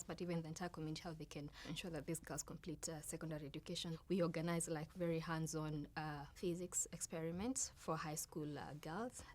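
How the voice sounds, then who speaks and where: Low-pitched Kenyan woman in interview. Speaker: astronomer and outreach project leader. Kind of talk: interview talk about own work.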